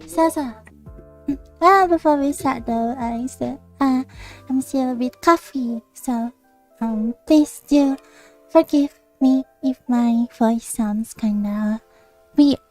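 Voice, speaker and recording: A woman hosting a casual discussion stream, talking in a hesitant, halting way with drawn-out hums. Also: sits high, soft background music. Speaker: host of a VTuber discussion stream. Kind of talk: casual chat.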